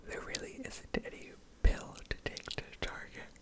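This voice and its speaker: A woman whispering an unscripted ASMR ramble in a reflective, hesitant way with trailing pauses. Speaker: ASMR video creator rambling over gameplay.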